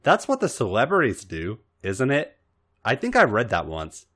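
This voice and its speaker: A man's voice: medium pitch, huge pitch swings, moderate pace, bright, forceful tone, exaggerated voice. A man voicing a naive, earnest, bashful young woman in a story reading, with a hesitant, innocent manner.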